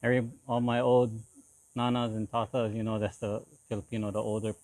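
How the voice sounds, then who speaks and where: A man in his forties from Hawaii, speaking casually as an interview guest in a halting way, often repeating short phrases. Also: lowish voice, monotone, a little mellow, no echo, low-quality recording. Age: 40s. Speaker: interview guest, lowrider builder.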